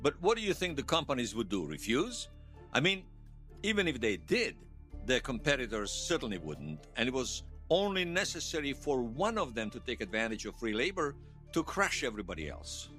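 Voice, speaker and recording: A man's voice: medium pitch, big pitch swings, normal speed, bright, forceful tone, faint music behind. An actor playing a wry, folksy Bosnian man who tells his past in an audio drama interview. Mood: wry, animated. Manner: wry storytelling with colourful folk sayings. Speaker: storyteller being interviewed.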